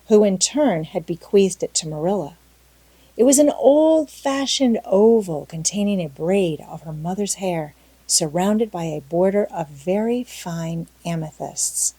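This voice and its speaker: A woman reading a classic story aloud as an audiobook narrator, measured and expressive. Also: on the low side, breathy edge, dry recording.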